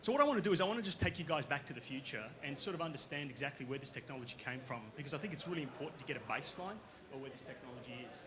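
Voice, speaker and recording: A man from Western Australia with an Australian accent, giving a conference pitch as a company founder, casual and conversational, often checking in with the audience. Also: bright, forceful tone. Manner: casual, conversational, with frequent tag questions. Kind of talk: conference presentation. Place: conference stage.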